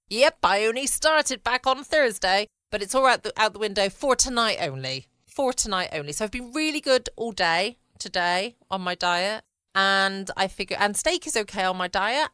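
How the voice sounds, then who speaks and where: A woman's voice: medium pitch, pushed and bright, clean tone, no echo, clean studio sound. A woman with a southern English accent chatting informally and rambling as a solo podcast host. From United Kingdom. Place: at home, dry close room.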